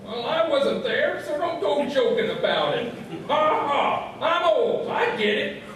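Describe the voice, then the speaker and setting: A man's voice: extremely high pitch, big pitch swings, unhurried, fairly bright tone, exaggerated voice. A man playing a cranky, hard-of-hearing old small-town sage in a comedy radio play, rambling in a muddled, folksy way. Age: elderly. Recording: very echoey hall, distant and noisy.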